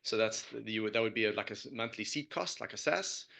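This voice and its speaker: A man answering questions off the cuff as a startup founder, halting and hedging as he explains. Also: on the low side, lively intonation, gravelly, bright, forceful tone.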